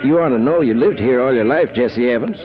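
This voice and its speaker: A man acting in an old radio drama as a gruff, impatient small-town man, brusque and scolding. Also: medium pitch, fairly quick, natural voice. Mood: irritated, hushing. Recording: dull old radio recording, crowd behind.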